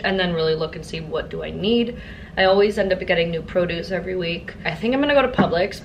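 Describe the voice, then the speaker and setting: Young woman rambling to her vlog cam at home, a bit low voice, voice still coming back after being sick, faint hum behind. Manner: chatty, upbeat, thinking aloud. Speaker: vlogger. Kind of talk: casual vlog chat to camera. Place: apartment bedroom and kitchen, indoors.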